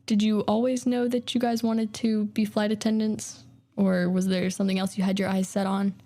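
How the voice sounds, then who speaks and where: A young woman hosts an interview and keeps her questions brief and friendly. Her voice is mellow and warm at an everyday pitch. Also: flat delivery, normal speed. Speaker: host. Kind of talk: interview hosting.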